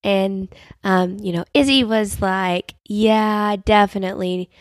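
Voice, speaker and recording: A woman's voice: mid-range voice, steady tone, measured pace, dry recording, studio quality. A young woman hosting a casual devotional podcast, talking earnestly with lots of fillers while getting over a sinus infection that makes her voice cut in and out. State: recovering from a sinus infection, voice cutting in and out. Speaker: podcast host.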